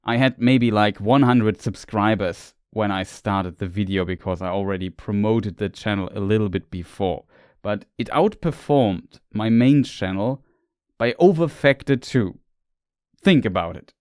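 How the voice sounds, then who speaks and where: A man's voice: lowish voice, measured pace, a little mellow, clear, resonant tone, no echo, studio quality. Man explaining fantasy lore. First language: German. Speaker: lore video creator. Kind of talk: scripted lore narration.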